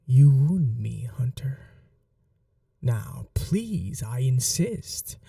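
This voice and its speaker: Man playing a dark-voiced, seductive vampire lord. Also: mid-range voice, sing-song, drawn-out words, no echo, studio quality, far from the mic, full character voice. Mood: suave, courtly. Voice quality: soft and breathy, often dropping to whispers. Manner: courtly, teasing, menacing politeness. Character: sadistic, possessive male vampire lord, suave seductive villain. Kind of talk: ASMR roleplay audio drama.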